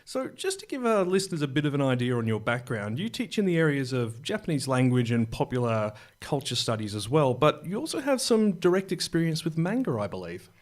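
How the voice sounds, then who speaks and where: A man hosting a podcast, introducing a guest and leading a relaxed chat. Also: mid-range voice, fairly quick, hardly any pauses. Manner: friendly, leading the conversation. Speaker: podcast host.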